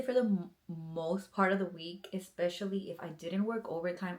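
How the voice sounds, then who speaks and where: A woman in her thirties giving earnest, encouraging advice in a casual vlog, talking in loose runs with many fillers. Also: on the low side. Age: 30s. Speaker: police officer vlogger.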